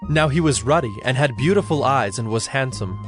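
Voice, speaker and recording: A man narrating a scripted Bible story in a formal, measured storytelling manner. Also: medium pitch, lively intonation. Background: music.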